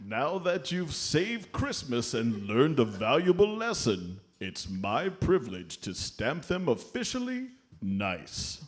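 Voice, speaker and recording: A man playing a jolly, avuncular Santa Claus, gently scolding and fond, in a live comedy radio play. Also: mid-range voice, wildly swooping pitch, takes it slowly, hardly any pauses, a little hiss or hum. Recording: live stage comedy show recording.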